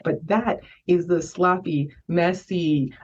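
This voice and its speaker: Brief: Haitian-born woman guest, low husky voice, emphatic, recorded far off with a dull sound. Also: fairly even intonation, takes it slowly. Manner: emphatic, tags lines with "right?". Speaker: guest consultant. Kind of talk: casual interview talk. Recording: muffled distant mic.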